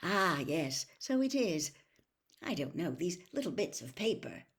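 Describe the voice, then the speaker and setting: A woman's voice: on the low side, very animated, measured pace. A woman voicing a cheerful, easily flustered middle-aged wizard father, polite and eager, in a storybook reading. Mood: puzzled, nervous.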